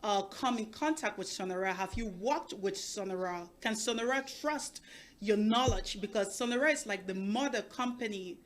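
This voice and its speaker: A woman's voice: medium pitch, normal speed. A woman from Cameroon hosting an interview, encouraging her guest and asking long winding questions. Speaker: host. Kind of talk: interview host.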